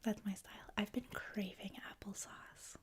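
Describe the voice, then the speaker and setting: A woman with a Canadian accent, a native French speaker, hosting a livestream in a hushed, whispery ASMR style, chatting casually with viewers. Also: mid-range voice, fairly even intonation, moderate pace. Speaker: livestream host. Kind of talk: soft-spoken ASMR, casual chat with viewers.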